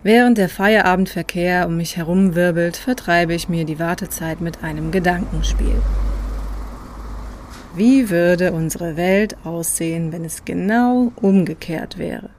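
A narrator reading a scripted personal travel story in a reflective, measured way, recorded close on a microphone. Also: mid-range voice, slight background noise. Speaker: podcast host and narrator.

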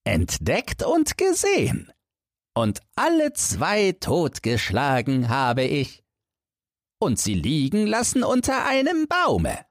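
A man's voice: a little high, wildly swooping pitch, slow pace, dry recording, studio quality, pitched above the performer's own voice, full character voice. A man voicing a small, boastful tailor, the perky hero of a storybook fairy tale. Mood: proud, boastful.

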